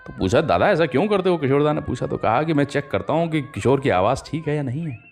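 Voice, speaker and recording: A man's voice: on the high side, expressive, quick pace. A man hosting a radio show, telling anecdotes in a storytelling manner and voicing the quoted exchanges. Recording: music playing behind the voice. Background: music.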